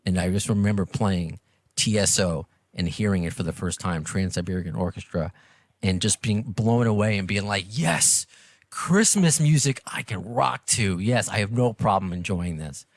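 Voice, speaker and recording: A man's voice: lowish voice, moderate pace, no echo. A man with a New York accent hosting a podcast, chatting casually in a rambling, self-deprecating way. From Long Island and Brooklyn, New York. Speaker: podcast host. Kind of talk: casual interview chat.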